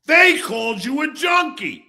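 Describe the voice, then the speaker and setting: A man hosting an online show delivers an angry, profane rant at a rival, confrontational and emphatic, with dramatic pauses. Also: very high-pitched, big pitch swings, creaky, sharp tone, raised voice. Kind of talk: angry personal rant addressed to an absent rival.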